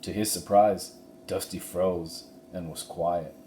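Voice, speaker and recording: A man giving an expressive narration of a children's storybook. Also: low-pitched, slow pace. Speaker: story reader. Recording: roomy echo, faint background noise.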